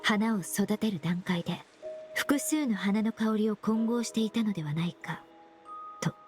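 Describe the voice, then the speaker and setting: A woman voicing a polite, analytical young perfumer, speaking in a gentle, precise and measured way for an anime game. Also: mid-range voice, faint music behind, lightly acted. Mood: composed explaining.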